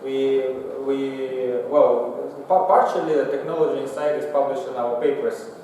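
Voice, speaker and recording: A male lecturer explains technical slides in halting, non-native English. His voice is mellow and sits far from the microphone in a heavily reverberant hall. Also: medium pitch, fairly even intonation. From Singapore. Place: lecture room, indoors. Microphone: far from the mouth, room pickup. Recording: echoey hall, distant mic, faint noise.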